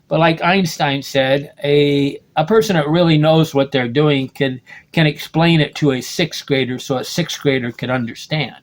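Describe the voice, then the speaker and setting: A man in his late sixties, from the United States, talks in a loose, rambling monologue. His voice sits a little higher than most men's, and he keeps circling back to reword his thoughts, dropping in "okay?" as he goes. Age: 60s. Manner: rambling, restarts sentences, keeps asking "okay?". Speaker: video blogger.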